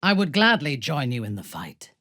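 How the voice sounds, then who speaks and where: A woman voicing a ruthless, haughty exiled dark elf warrior in a video game, commanding and menacing, with formal diction. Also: rumbling low, big pitch swings, normal speed, no echo, exaggerated voice. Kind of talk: video game character voice acting.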